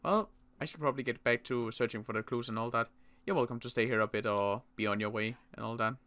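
Bright, clear-voiced young man roleplaying. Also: medium pitch. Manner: halting, many restarts, protective older-survivor tone. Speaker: roleplay series player. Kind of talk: in-character roleplay chat.

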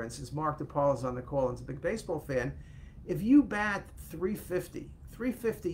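A man's voice: fairly high pitch, slight background noise. Chatty older man on a webcam. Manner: chatty, self-correcting, animated with hand gestures. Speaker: host. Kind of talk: casual live talk to viewers. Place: home office, indoors. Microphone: webcam on a video call.

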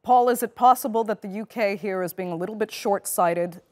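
A woman in her thirties hosting a TV news discussion in a studio, putting probing, measured questions to her guests. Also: medium pitch, a little mellow. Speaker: news show host. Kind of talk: TV news interview.

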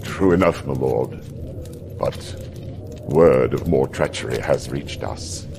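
A man's voice: very deep voice, slow, gravelly, noisy background, exaggerated voice. An actor playing a middle-aged, loyal military aide in a game, dramatic and deferential toward his lord. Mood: ashamed, deferential. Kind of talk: video game cutscene acting.

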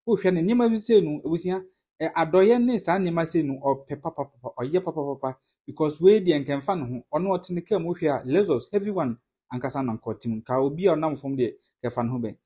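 A Ghanaian man, a native Twi speaker, comments earnestly to his online audience, addressing them like family. His voice is husky and a touch breathy, with a mellow, dark tone. He leans on repetition to drive his points home. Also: on the high side, expressive, fairly quick. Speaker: political commentary vlogger. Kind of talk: casual commentary to followers.

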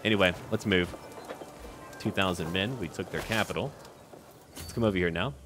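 Man, low-pitched voice, strategy game commentary, in-character ruler, short clipped thinking-aloud remarks, faint music behind. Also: moderate pace. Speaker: gaming video host.

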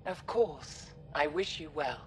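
An actress playing a grave, dignified older alien leader in a video game, speaking formally and with measure. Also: female voice, lively intonation, takes it slowly. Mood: grave, gracious. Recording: faint low rumble behind.

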